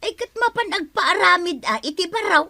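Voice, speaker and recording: Casting: fussy, excitable busybody, radio comedy, sharp animated voice. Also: female voice, very high-pitched, moderate pace, full character voice. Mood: fussy, probing. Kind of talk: comic radio drama acting.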